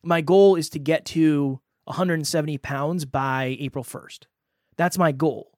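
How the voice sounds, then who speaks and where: A man's voice: fairly high pitch, unhurried, a little mellow, no echo, clean studio sound. Candid man in his thirties, podcasting. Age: 30s. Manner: candid, self-deprecating. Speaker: podcast co-host. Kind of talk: casual podcast chat.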